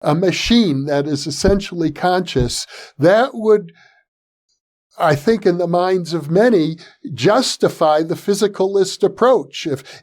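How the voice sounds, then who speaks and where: A man hosting an interview, asking questions in a slow, measured way. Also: high voice, darkish tone. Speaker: host.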